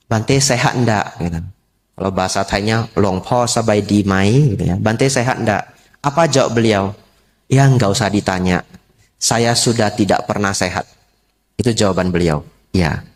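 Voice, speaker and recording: A man preaching as a Buddhist monk, unhurried, repeating key phrases and posing rhetorical questions to lay listeners. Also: medium pitch. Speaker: Buddhist monk giving a Dhamma talk. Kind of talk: sermon to a lay congregation.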